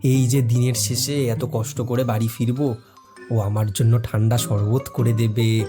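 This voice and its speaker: Streetwise, money-minded male sidekick with a soft heart, played by a male actor, mid-pitched, slangy banter, audio drama over clear music. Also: level tone, a little slow, close to an everyday voice. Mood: tender, resigned. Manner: colloquial, slangy, familiar banter. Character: streetwise, money-minded, warm-hearted male informant and odd-job helper. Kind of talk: audio story character acting.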